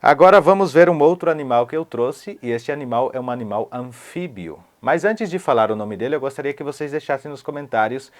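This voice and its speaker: A man from Paraguay gives a relaxed online lesson from home. He says each new word slowly, repeats it several times for the learner, then explains it at an easy pace. His voice sits at an ordinary middle pitch. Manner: repeats words slowly to show pronunciation. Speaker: language teacher.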